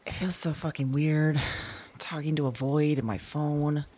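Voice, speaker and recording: A woman playing an uneasy, self-conscious dreamer in an audio drama, speaking haltingly into a voice memo and trailing off. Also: deep voice, steady tone, mellow, slight background noise. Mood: uneasy, musing.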